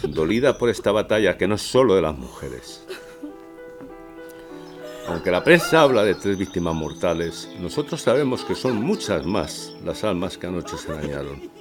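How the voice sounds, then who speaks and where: A man's voice: deep voice, big pitch swings, normal speed. An actor playing a grieving man who reads old news aloud, his voice broken with pain, in a radio drama. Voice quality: broken, pained voice. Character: grieving male neighbour reading 1918 news aloud. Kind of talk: dramatic radio fiction monologue. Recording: music behind the voice.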